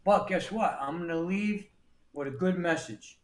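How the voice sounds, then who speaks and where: A man in his sixties from New York City, speaking a little higher than most men. He talks plainly and often restarts his sentences. His voice rings in an echoey room. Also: moderate pace. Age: 60s. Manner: plain-spoken, repeats words and restarts often. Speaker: guest. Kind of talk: casual interview talk.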